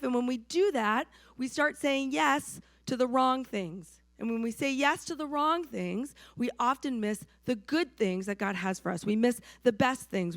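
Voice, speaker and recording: A hoarse woman from New Hampshire preaching a sermon to her church in a conversational way, often checking in with the congregation. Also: high voice, fairly bright tone, no echo. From New Hampshire, USA. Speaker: pastor.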